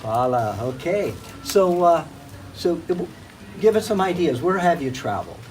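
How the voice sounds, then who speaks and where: Relaxed chatty man hosting an interview. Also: on the high side, normal speed, a little hiss or hum, low-quality recording. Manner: relaxed, chatty, frequent uh-huhs. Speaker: host. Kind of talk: casual travel interview.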